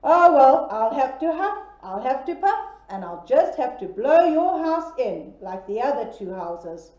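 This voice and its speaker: Woman doing a loud hungry big bad wolf. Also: high-pitched, sing-song, measured pace. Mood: menacing. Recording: echoey room, dull lo-fi sound.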